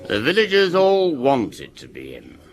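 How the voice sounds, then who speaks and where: An actor playing a gossipy, sardonic village innkeeper in a radio drama, with a dry, knowing, teasing manner. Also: male voice, mid-range voice, huge pitch swings, normal speed.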